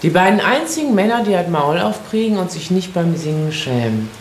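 A nosy, tipsy village sleuth. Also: female voice, fairly low pitch, fairly quick, reverberant, a little hiss or hum. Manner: lively, pushy, blunt.

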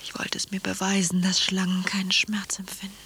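A wilful, languid lover in a radio play, with a mid-pitched female voice. Her diction is formal and poised. Faint ambience sits behind her. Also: monotone, brisk, bright, forceful tone, slight background noise. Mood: intense. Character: Bella, wilful, languid woman in a flirtatious affair. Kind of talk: German radio play acting.

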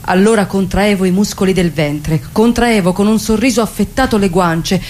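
A woman's voice: lowish voice, a little mellow, slight background noise, close to an everyday voice. A woman playing a weary, aging former beauty in a confessional theatrical monologue. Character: aging former beauty, weary, rigidly holding herself together.

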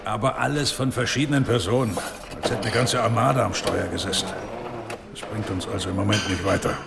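An actor playing a frank, sceptical police colleague, chatting casually in a radio crime drama. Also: male voice, fairly low pitch, fairly even intonation, fairly quick, noisy background. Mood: casual, businesslike. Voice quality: gravelly, heavy fry. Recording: busy crowd chatter behind. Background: crowd.

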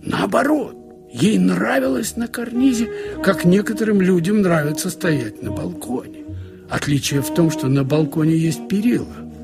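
A man narrating a short story in a conversational, wry way, with expressive asides, like a radio audiobook reader. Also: high-pitched, normal speed, vocal fry, music in the background. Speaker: narrator reading literary prose.

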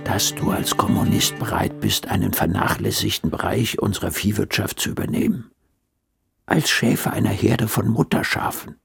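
An actor playing a cautious, commanding communist official with a gravelly voice in a radio play. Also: male voice, on the low side, moderate pace, faint music behind, exaggerated voice. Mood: urgent, hushed.

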